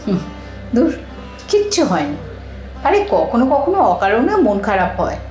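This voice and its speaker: A woman playing a troubled, protective elder sister in an audio drama, with emotional, expressive delivery. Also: medium pitch, moderate pace. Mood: troubled, resolute. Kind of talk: Bengali audio drama acting. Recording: echoey room, distant mic, music bed.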